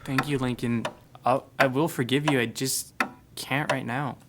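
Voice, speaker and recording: A man playing a hurt but forgiving high school boy in an audio drama, speaking gently and haltingly. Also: fairly even intonation, lightly acted. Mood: tender, forgiving. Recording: noisy, hissy dialogue recording.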